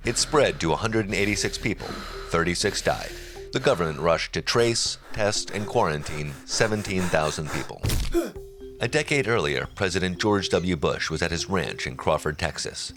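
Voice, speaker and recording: A man reading scripted documentary narration in a measured, serious manner. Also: deep voice.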